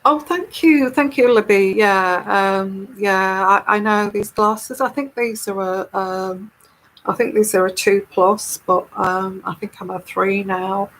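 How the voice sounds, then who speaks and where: Slow, calm-voiced woman. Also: medium pitch, poor audio. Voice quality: calming, soothing voice. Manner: unhurried, chatty and rambling. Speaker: tarot reader and live-stream host. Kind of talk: casual live-stream talk reading viewer comments.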